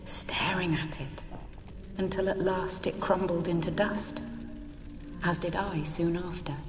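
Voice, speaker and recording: A woman playing the wistful, fragile ghost of a forsaken wife in a video game, speaking in a hushed, sorrowful way. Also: fairly low pitch, steady tone, unhurried, over music, lightly acted. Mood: wistful, mournful. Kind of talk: dramatic video game dialogue. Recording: reverberant, distant hall effect.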